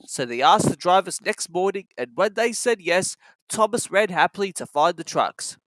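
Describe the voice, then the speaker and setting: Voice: medium pitch, lively intonation, normal speed, fairly bright tone, no room sound. A narrator reading a children's railway storybook aloud in a scripted, storytelling style.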